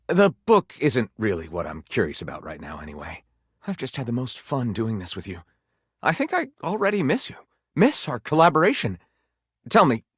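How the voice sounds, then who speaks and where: Flamboyant, wildly swooping bookish fellow. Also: male voice, medium pitch, husky, no echo, studio quality. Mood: excited, fond. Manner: effusive, florid, theatrical diction. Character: flamboyant, theatrical bookish living library, eager and easily wounded. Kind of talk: video game character acting.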